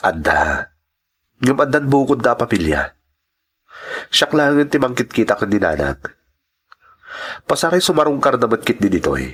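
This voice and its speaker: Man acting a husky, reassuring Ilocano priest. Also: medium pitch, big pitch swings, normal speed, long pauses, a bit bright. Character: reassuring, persuasive male priest, devoted son. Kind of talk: radio drama acting.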